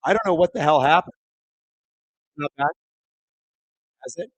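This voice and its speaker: A man with a thin, raspy, hoarse voice hosts a live hockey watchalong, chatting casually, reading viewer comments and calling plays excitedly. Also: fairly high pitch, measured pace, no room sound, low-quality recording. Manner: casual, reads viewer chat aloud; excitable play-by-play on big moments. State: hoarse, voice squeaking. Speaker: watchalong host. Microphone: streaming microphone.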